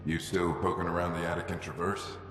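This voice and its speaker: An actor playing a gruff, middle-aged father and veteran explorer in a video game, speaking in a measured, reflective way. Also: low-pitched, little pitch movement, lightly acted. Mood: casual. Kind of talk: video game cutscene acting. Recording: echoey reverberant room, faint background noise.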